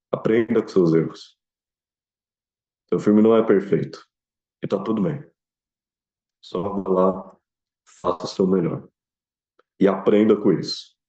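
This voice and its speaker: A man's voice: low voice, mellow. A young man with a Brazilian accent giving earnest, informal advice in an interview, repeating phrases for emphasis. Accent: Brazilian Portuguese. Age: young adult. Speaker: student filmmaker interviewee. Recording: slightly dull, lo-fi sound.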